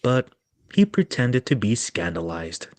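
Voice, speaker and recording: A man's voice: on the low side, very animated, a little slow, a little mellow, dry recording. A man narrating a storybook dramatically as part of a group reading.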